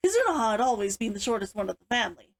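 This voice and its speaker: A woman with a high voice that moves up and down in lively swings. She is expressive and chirpy, talking as the author and host of a read-along. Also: rapid-fire. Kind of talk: animated chat and story read-aloud.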